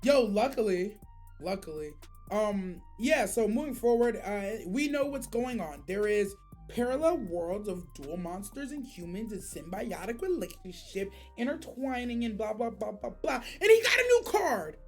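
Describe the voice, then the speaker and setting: A woman hosting a reaction show, giving casual, slangy, animated commentary with swearing. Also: mid-range voice, normal speed, soft background music.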